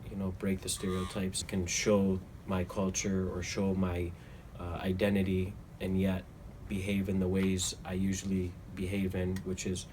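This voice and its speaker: A man in his thirties speaks low and level, with little rise or fall, weighing his words earnestly in an interview. A steady noise runs beneath his voice throughout. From Toledo, Ohio, US. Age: 30s. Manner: measured, earnest, some hesitations. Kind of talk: reflective interview answers.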